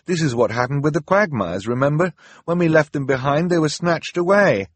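Bookish middle brother, worried and earnest, urgent reasoning, husky, a man putting him on. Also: a little high, expressive, a little fast, dry recording, pitched above the performer's own voice. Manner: hesitant, then urgent and persuasive.

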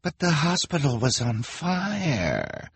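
A man's voice: medium pitch, moderate pace, no echo, pitched above the performer's own voice, close to an everyday voice. A man voicing a timid female villain's assistant in a storybook, with a whiny, pleading manner. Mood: whining.

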